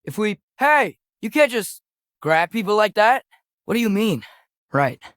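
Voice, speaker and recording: A man's voice: high voice, sing-song, measured pace, a bit bright, no echo, clean studio sound, exaggerated voice. A young man playing an earnest, headstrong teenage boy adventurer in a video game, with a light youthful voice and a casual, hesitant way of talking. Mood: exploring, focused. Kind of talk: video game character acting.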